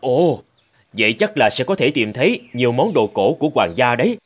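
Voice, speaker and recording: A man playing an eager, plain-spoken relic digger in an animated fairy tale. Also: medium pitch, fairly quick. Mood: excited.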